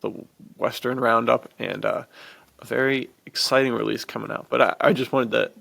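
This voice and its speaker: Gravelly man chatting on a podcast. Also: mid-range voice, fairly even intonation, normal speed, fairly bright tone. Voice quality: gravelly, heavy vocal fry. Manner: enthusiastic, informal. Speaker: podcast host. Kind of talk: casual podcast chat.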